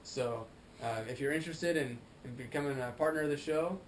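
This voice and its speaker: A man's voice: fairly high pitch, a little fast, slight background noise. An American man hosting a show, chatting casually and enthusiastically. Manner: upbeat, enthusiastic slang. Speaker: show host. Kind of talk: casual chat.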